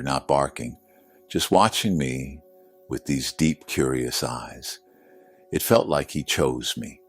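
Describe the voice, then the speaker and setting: Male presenter, very deep register, solemn and sincere scripted letter reading, light music underneath. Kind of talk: slow scripted emotional narration. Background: background music.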